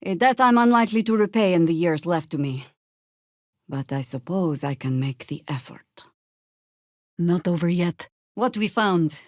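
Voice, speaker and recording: A woman playing a stern, wry older female druid warrior in a video game, speaking in a dry, measured, sardonic way. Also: fairly low pitch, no room sound. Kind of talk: scripted video game character lines.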